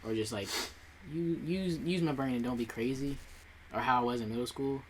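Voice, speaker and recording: A young man co-hosts a podcast in a relaxed, casual chat. He talks hesitantly, with lots of filler words, at a middle pitch. Faint background noise sits under his voice. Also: normal speed, poor audio. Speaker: podcast co-host.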